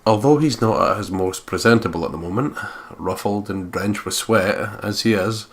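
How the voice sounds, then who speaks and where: A man reading a visual novel aloud as a let's play host, in expressive scripted narration. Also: on the low side, normal speed, a little hiss or hum. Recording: guitar game music behind voice. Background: acoustic guitar game soundtrack.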